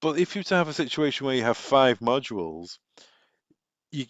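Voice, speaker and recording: A man's voice: mid-range voice, big pitch swings, brisk, a bit bright. A man presenting informal product training, explaining things step by step through practical examples. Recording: echoey room, dull muffled sound.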